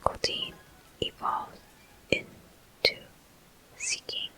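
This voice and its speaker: A person whispering gentle, unhurried ASMR commentary as a video game walkthrough host. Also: low-quality recording. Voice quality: soft, breathy whisper.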